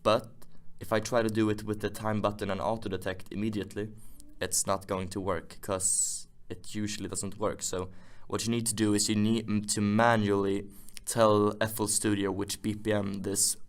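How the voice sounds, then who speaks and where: Low, flat-toned man giving a tutorial. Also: moderate pace, hardly any pauses. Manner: rambling, thinking aloud while demonstrating. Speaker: music production tutor, host. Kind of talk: unscripted software tutorial narration.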